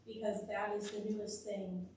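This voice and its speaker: A woman with a loud, mid-pitched voice steers a zoning meeting, talking things through with ums and asides. The sound is distant and very roomy, with a faint hum. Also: little pitch movement, moderate pace. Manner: chatty, thinks aloud with fillers, says her voice is loud. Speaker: zoning commission member leading the discussion. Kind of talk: public meeting discussion. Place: township meeting room. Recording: echoey meeting room from a distant mic.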